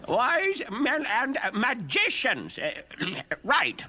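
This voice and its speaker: A man voicing a bumbling, forgetful royal butler in an animated Bible story, flustered and dithering. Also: extremely high pitch, very slow, exaggerated voice.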